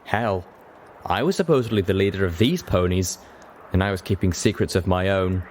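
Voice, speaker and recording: Male audiobook narrator, lower-pitched, scripted first-person storytelling, faint noise in the recording. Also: moderate pace, a little mellow.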